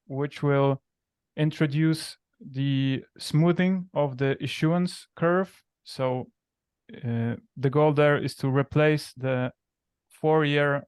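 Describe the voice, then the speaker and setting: A man with a Polish accent giving an informal technical update on a video call, in measured, hesitant phrases. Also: fairly high pitch, clean tone, no echo, studio quality. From Poland. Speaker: software engineer.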